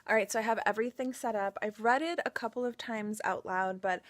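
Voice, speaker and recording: A woman's voice: on the high side, a little fast, soft background music. A young woman whose first language is Spanish, talking casually and upbeat as she vlogs about her day. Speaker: vlogger and voice actor. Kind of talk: casual vlog chat.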